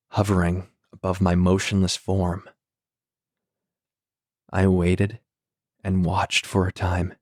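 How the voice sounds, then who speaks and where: A man narrates a dark science fiction short story in the first person, measured and somber. His voice sits fairly low. The recording is close and dry, with no room sound. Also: no room sound. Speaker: audiobook narrator.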